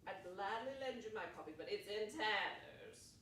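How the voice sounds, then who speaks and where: A woman's voice: mid-range voice, expressive, normal speed, a bit bright, close to an everyday voice. A woman on stage playing an eccentric, grandly theatrical old lady, effusive, rambling and giggly in her delivery. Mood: whimsical, grand. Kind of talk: live stage play acting. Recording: distant, echoey, noisy stage recording.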